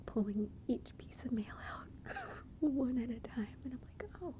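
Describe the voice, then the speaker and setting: A woman telling a personal story in a soft, chatty whisper, ASMR style. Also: fairly high pitch, big pitch swings, measured pace. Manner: soft unvoiced whisper throughout; chatty, conversational asides.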